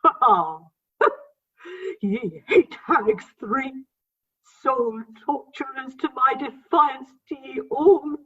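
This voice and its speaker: A woman's voice: sits high, slow pace, bright, forceful tone, breathy edge, exaggerated voice. An actress playing a jealous, grandly wronged tragic woman in a comic radio play, declaiming theatrically over a video-call recording. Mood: anguished, defiant. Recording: tinny, band-limited video-call recording.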